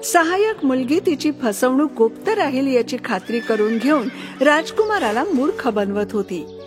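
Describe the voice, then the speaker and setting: A woman narrator reading a scripted fairy tale for children. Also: on the high side.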